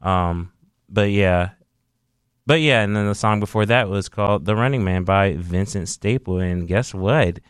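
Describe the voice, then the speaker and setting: A man hosting a casual radio show, talking in a relaxed, rambling way with lots of fillers. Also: lowish voice, a little slow, smooth, no echo, clean studio sound. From Haitian descent.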